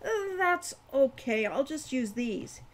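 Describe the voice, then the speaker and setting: A woman's voice: high-pitched, big pitch swings, slow, voice pushed up, full character voice. A woman voicing an earnest, polite young man who wants to be a hero, in a storybook reading. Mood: polite, questioning. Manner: earnest, questioning.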